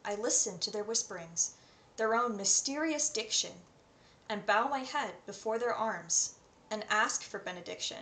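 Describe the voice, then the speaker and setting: A woman in her twenties with a North American accent speaks at a middling pitch as an online tutor. She is in a small, echoey room at home, and faint noise sits behind her voice. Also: animated, moderate pace, bright, forceful tone. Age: 20s. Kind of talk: self-introduction to camera with poem readings. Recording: roomy echo, faint background noise.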